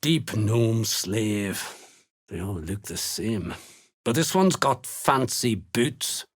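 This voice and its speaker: Husky, low-voiced slaver brute. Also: male voice, expressive, slow pace, full character voice. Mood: scornful. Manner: curt, threatening, contemptuous. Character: gruff, menacing slaver serving a dark cult. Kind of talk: video game character dialogue.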